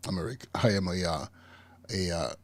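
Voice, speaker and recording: A man's voice: low voice, moderate pace, no echo. A man in his forties hosting a podcast, talking casually and stuttering, with frequent repeats and restarts. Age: 40s. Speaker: podcast host, school principal.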